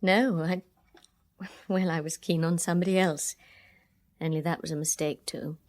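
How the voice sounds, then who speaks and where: Woman acting hurt young english woman in a radio play, bit low voiced, halting, bitter and guarded. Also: fairly even intonation, natural voice. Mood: guarded, confessing. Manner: hesitant, halting confessions. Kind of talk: full-cast radio drama acting.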